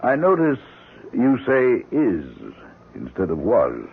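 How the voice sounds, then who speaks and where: A man playing a concerned but blunt, suspicious family doctor, measured and insistent, in an old-time radio mystery. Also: mid-range voice, wildly swooping pitch, slightly rough, heavily acted. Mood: firm, probing. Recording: muffled narrowband radio drama.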